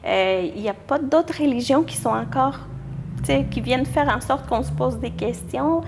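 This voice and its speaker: A young woman with a fairly high voice. She answers as an interview guest in an informal way, often hesitating as she looks for her words. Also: moderate pace, over music. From Mexico. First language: Spanish. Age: young adult. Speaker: interview guest, church youth group leader.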